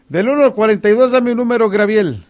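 A man's voice: high-pitched, expressive, normal speed. A man hosting live radio, chatting casually and teasing callers, often repeating his phrases. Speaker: radio host.

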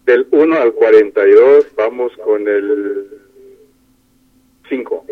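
A man's voice: on the low side, slow, slight background noise. A man calling in to a radio show, chatting casually over the telephone. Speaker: radio phone-in caller. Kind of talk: casual phone chat. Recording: narrowband phone line on radio.

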